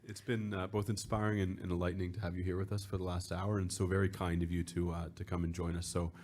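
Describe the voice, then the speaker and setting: A man moderating a talk and asking quick, loose questions. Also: sits low, little pitch movement, darkish tone. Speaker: event moderator. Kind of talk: moderated conversation.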